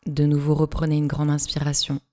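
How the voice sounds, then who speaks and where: A woman yoga instructor leading a guided relaxation meditation, giving measured instructions in short phrases with pauses between them. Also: low voice, monotone, warm tone.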